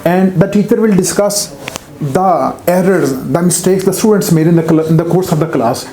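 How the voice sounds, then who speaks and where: A man's voice: sits high, normal speed, mellow, slight background noise. A man with a Pakistani English accent explaining teaching methods unscripted, full of "you know" fillers and self-corrections. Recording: roomy echo, faint ambience.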